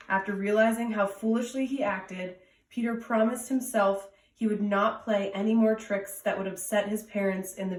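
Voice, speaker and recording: Female storytime reader, mid-pitched, children's read-aloud, echoey room, distant mic. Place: indoors at home. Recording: roomy echo, distant from mic.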